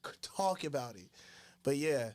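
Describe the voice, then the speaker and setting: A man's voice: medium pitch, sing-song, unhurried, a little mellow. A man hosting a casual podcast, asking questions and chiming in eagerly and agreeably. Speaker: podcast host.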